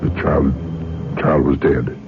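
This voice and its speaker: An actor playing a grave, polite small-town lawman in a radio drama, with halting, folksy rural speech. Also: rumbling low, fairly even intonation, heavily acted. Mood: grave, hesitant. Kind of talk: old-time radio drama acting. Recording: muffled vintage radio, music behind.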